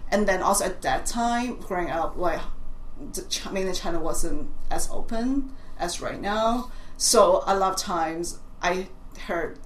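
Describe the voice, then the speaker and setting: A woman's voice: fairly low pitch, unhurried. A woman in her forties from Hong Kong, with a Cantonese accent that carries traces of British and American English, talking as an artist guest in an interview and often stopping to restart her sentences. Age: 40s. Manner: hesitant, many restarts and fillers. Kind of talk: conversational interview. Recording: echoey room, faint noise.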